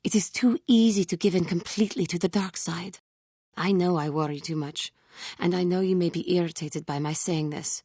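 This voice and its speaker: An actress playing an earnest, solemn alien Jedi woman warrior in a video game, devoted but self-doubting, speaking in formal, measured phrases that halt with emotion. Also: lowish voice, darkish tone, breathy edge, no room sound, studio quality. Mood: worried, resolute. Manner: formal, measured diction without contractions, halting when emotional. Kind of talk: scripted video game character dialogue.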